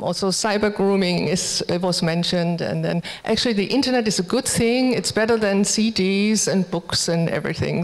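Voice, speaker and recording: A woman's voice: medium pitch, long reverb tail. Hesitant German-accented woman presenting. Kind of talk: informal conference presentation.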